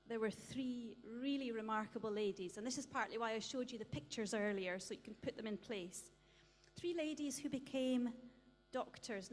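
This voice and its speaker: A woman's voice: a little high, slight background noise. A woman telling a church history story to an audience, with light humour. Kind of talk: illustrated talk with slides. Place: church hall. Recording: long reverb of a church hall.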